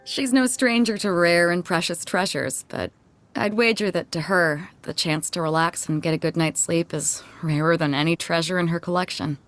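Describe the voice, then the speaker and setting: A woman's voice: on the low side, moderate pace, fairly bright tone, close to an everyday voice. An actress playing a breezy, self-assured female sea captain with a casual, easygoing manner in a video game cutscene. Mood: thoughtful, casual. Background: background music.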